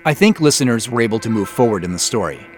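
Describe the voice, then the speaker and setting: A man's voice: lowish voice, animated, quick pace, soft background music. A man giving scripted behind-the-scenes commentary as the creator of an audio drama.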